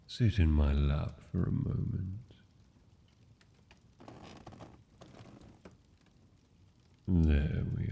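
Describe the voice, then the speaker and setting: A man's voice: deep voice, gravelly, warm tone, long reverb tail, lo-fi sound, exaggerated voice. A man playing a mad dragon king in an intimate fantasy roleplay, tender and possessive, speaking in formal, courtly endearments. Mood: tender, soothing.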